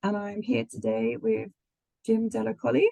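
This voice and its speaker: A woman astrologer with the flu, croaky, chatting casually with many ums. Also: a little high, flat delivery, moderate pace, warm tone, no room sound. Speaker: astrologer co-host.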